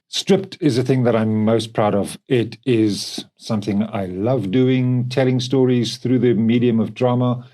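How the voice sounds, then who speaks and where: A man's voice: medium pitch, fairly even intonation, unhurried, mellow. A middle-aged man with a South African accent, speaking as a company co-founder in a reflective podcast conversation.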